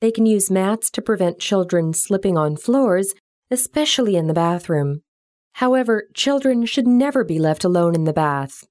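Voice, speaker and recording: A woman reading a scripted interview answer in a measured, explanatory way. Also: medium pitch, animated, darkish tone, dry recording, clean studio sound. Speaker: interview guest in a listening test.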